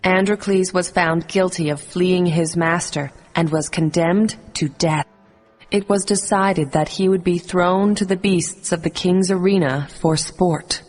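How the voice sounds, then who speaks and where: A woman reading scripted narration for a children's animated story. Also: fairly low pitch, measured pace. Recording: music underneath.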